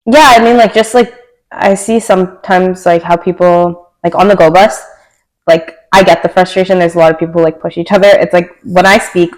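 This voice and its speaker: A woman's voice: lowish voice, fairly even intonation, moderate pace, low-quality recording. A young woman from Canada with a Canadian accent, a podcast guest talking casually, filling her speech with many "like"s and laughing often. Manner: chatty, filler-heavy with many likes, laughs often.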